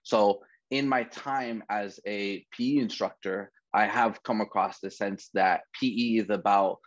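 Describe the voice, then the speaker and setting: A man in his thirties from the Bay Area, a physical education teacher presenting over slides in a relaxed way, with many fillers and restarts. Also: fairly low pitch, unhurried, dry recording. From San Francisco Bay Area. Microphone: video call. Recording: dry, dull lo-fi video call audio.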